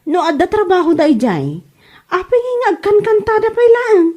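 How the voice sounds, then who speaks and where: A woman's voice: extremely high pitch, lively intonation, normal speed, warm tone. A woman with an Ilocano accent playing a mocking village gossip in a comedy radio drama.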